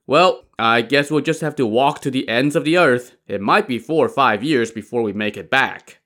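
A man's voice: medium pitch, moderate pace, fairly bright tone, lightly acted. A man voicing a sly male magician in a story, teasing and instructing in a mock-serious way.

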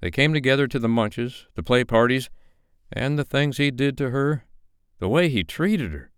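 A man's voice: medium pitch, lively intonation, normal speed, clean tone, no room sound, clean studio sound, voice pushed up, close to an everyday voice. A man voicing a fair-minded, protective male character in an audiobook, earnest and reproving. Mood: troubled, indignant.

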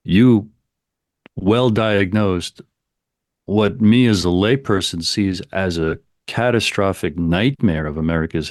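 A man's voice: on the low side, dry recording, clean studio sound. A man hosting an interview who asks long, rambling questions and often repeats his words as he frames them. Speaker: interview host.

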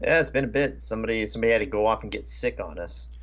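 A man's voice: fairly low pitch, racing, fairly bright tone, highs cut off. A man co-hosting a podcast, chatting casually. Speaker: podcast co-host. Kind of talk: casual chat.

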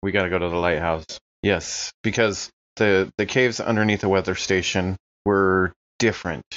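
A man's voice: low-pitched, steady tone, moderate pace, fairly bright tone, dull audio. A man playing a tabletop game, talking things over casually in character.

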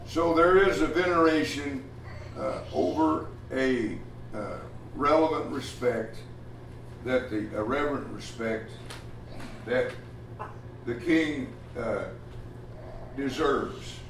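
A man preaching a sermon as pastor, plainspoken and folksy, often pausing with "uh" as he talks. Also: medium pitch, animated, slight background noise. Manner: plainspoken, folksy, halting with many uh fillers. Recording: echoey room from far off, faint ambience.

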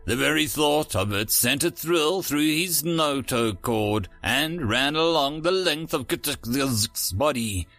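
A male narrator with a bright, forward voice and a widely swinging pitch. He reads scripted fiction in a showy, dramatic announcer manner, with faint music underneath. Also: lively intonation, takes it slowly, few pauses. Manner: theatrical, dramatic announcer delivery. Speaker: narrator and channel host.

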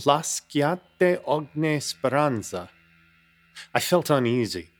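A young man tells his story in a radio play with dry, measured humor, and his pitch rises and falls expressively from line to line. He sounds like a wry narrator caught up in a strange night. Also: big pitch swings, soft background music. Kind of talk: first-person radio drama narration.

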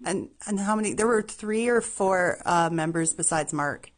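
A woman's voice: lowish voice, normal speed. A woman hosting a radio show, introducing and interviewing a guest in an upbeat way, stressing names as she goes. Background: music playing.

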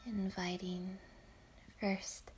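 A woman whispering a guided yoga nidra meditation in a hushed, soothing way. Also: medium pitch, little pitch movement, very slow, a little hiss or hum.

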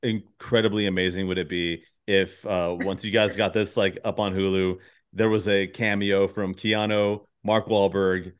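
A man's voice: low voice, little pitch movement, moderate pace, clean tone. A man hosting a podcast interview, chatting in an informal, effusive way. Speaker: podcast host. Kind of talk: casual interview chat.

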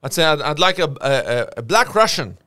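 Male guest, chatty and animated, dry close mic. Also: on the high side, a little fast, no echo. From Lebanon. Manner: animated storyteller, laughs often, restarts. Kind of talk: casual podcast chat. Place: Australia.

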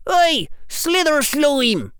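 A narrator voicing an earnest, loyal and excitable schoolboy in an audiobook. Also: brisk, no echo, studio quality. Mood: indignant shouting. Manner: high-pitched, eager, shouting when roused.

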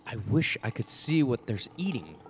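A man's voice: medium pitch, sing-song, normal speed, background noise, lightly acted. A man playing a stern, exasperated father of two in an old-time radio drama, speaking emphatically. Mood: troubled, insistent.

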